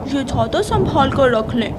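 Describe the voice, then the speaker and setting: A woman's voice: high voice, animated, unhurried, noisy background. A woman voicing a sly, brash young boy crow in a children's cartoon story. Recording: loud rain and thunder behind.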